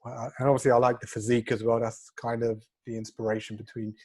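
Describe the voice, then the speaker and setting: A man's voice: mid-range voice, level tone, fast talker, a little mellow, slightly rough. A man around forty with a London accent, giving casual, modest, self-effacing answers as an interview guest. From North London, England. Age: 30s or 40s. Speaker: interview guest, fitness coach.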